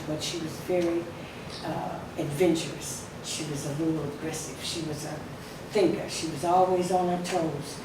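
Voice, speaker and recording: A woman speaking as a society spokesperson at a ceremony, telling a story with emphasis and some fillers. Her voice is weaker than usual, and she leans in to a podium microphone. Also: on the low side. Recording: echoey hall from far off, noisy.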